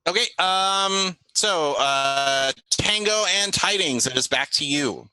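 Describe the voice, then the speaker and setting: Male game master, tabletop session. Lively pitch swings, dry close sound, casual delivery. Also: slow pace, smooth, no echo, poor audio.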